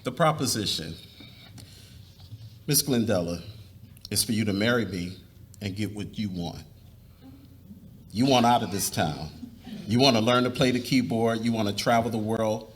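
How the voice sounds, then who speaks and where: A man playing an earnest, devout young suitor in a live dramatic reading, speaking in a measured, persuasive way. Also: fairly low pitch, natural voice. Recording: noisy live room recording.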